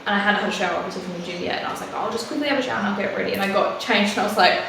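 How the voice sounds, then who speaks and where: A woman's voice: mid-range voice, racing, vocal fry, background noise. A young woman co-hosting a casual podcast, rambling and swearing casually as she chats. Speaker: podcast co-host. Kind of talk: casual chat. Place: living room. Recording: echoey room, mic at a distance.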